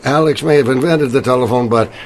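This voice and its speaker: An actor playing an excitable, boastful Victorian gentleman inventor in a radio mystery drama. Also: mid-range voice, fast talker, slight background noise, lightly acted. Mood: boastful, eager.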